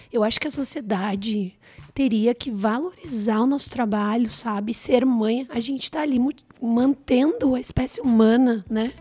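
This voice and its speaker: A woman with a southern Brazilian accent and a slightly hoarse voice, chatting casually as a podcast guest. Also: fairly high pitch, mellow. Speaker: podcast guest, writer and journalist. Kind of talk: casual conversation.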